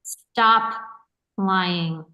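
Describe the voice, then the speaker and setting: A woman's voice: medium pitch, very slow, sharp tone, lo-fi sound. A woman playing an insistent, worried young woman who presses and confronts, in a staged play reading. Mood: accusing.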